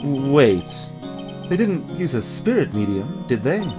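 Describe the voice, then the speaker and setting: Guy doing a quiet worried young lawyer man, game dialogue, asking unsure questions, music behind. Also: mid-range voice, sing-song, slow. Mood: curious, concerned. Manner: hesitant, questioning. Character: quiet, concerned young male rookie defense lawyer. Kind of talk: voiced video game dialogue.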